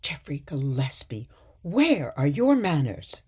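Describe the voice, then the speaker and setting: A woman playing a sweet, gently stubborn elderly mother in a radio play, coaxing and chiding softly. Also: deep voice, big pitch swings, slow, slightly rough, exaggerated voice.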